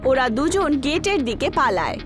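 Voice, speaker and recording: High-pitched female horror story narrator. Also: very animated, measured pace. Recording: background music under the voice.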